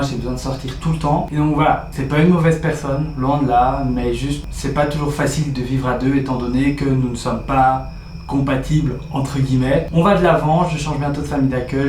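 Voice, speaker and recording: A man's voice: mid-range voice, a little mellow. A teenage man from Belgium, chatting casually as he answers viewer questions about his exchange year. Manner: chatty, reads out questions then answers them. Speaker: exchange student vlogger. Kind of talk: casual vlog Q&A. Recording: roomy echo with faint ambient noise.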